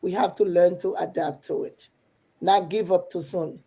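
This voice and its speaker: A woman's voice: on the low side, steady tone, moderate pace, warm tone, slightly rough. A middle-aged woman from Haiti with a Haitian accent, a coach chatting casually and encouragingly. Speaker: business coach and mentor. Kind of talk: casual motivational chat.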